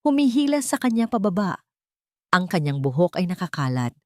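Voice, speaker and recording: Woman narrator, lower-pitched voice, dry close sound, dramatic romance storytelling. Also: very animated, moderate pace, dark tone, dry recording.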